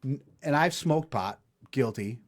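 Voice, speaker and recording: A man in his forties hosting a casual comedic podcast chat, telling stories animatedly and doing quick voices. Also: medium pitch. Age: 40s. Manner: animated storyteller, puts on voices. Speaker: podcast co-host.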